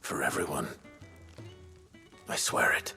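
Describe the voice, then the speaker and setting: A man playing a brooding, earnest hero and rebel leader in a video game cutscene, grave and understated, with a husky voice. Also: slow pace, bright, forceful tone, soft background music. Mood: solemn vow.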